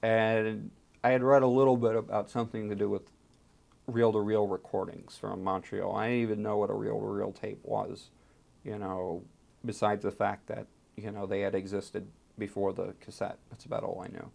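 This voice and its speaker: Man, low register with heavy creak, oral history interviewee, storytelling with emphatic pauses. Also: flat delivery, darkish tone. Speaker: interviewee, archive fellow and translator.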